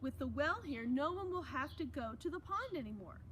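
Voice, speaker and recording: A proud, kindly village father from a storybook, voiced by a woman reader, speaks with encouraging emphasis. The voice sits fairly high, and the recording is clearly noisy. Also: lively intonation, normal speed, fairly bright tone. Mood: proud, pleased.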